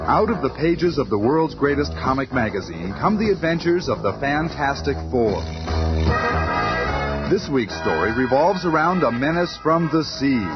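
Bombastic 1970s radio serial announcer, man performing, theatrical and very animated, muffled vintage sound. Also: medium pitch, moderate pace, close to an everyday voice. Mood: proclaiming. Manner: theatrical, proclaiming. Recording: dull old radio recording, loud music.

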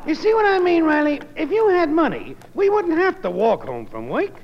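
An actor playing a needling, wisecracking working-class coworker in 1950s radio comedy, sarcastic and ungrammatical. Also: male voice, very high-pitched, sing-song, moderate pace, exaggerated voice. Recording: muffled old radio broadcast.

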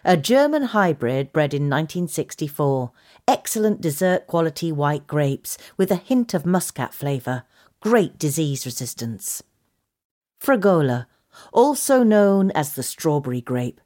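Female narrator for gardening features, low register, lively intonation. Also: unhurried. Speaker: magazine article reader.

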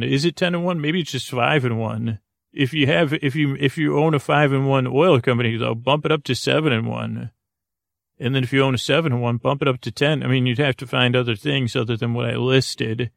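A man with a creaky voice hosting a bedtime podcast, musing aloud in a hesitant, meandering way and circling back on words. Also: mid-range voice, no room sound, studio quality. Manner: musing, asks themselves questions. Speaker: podcast host.

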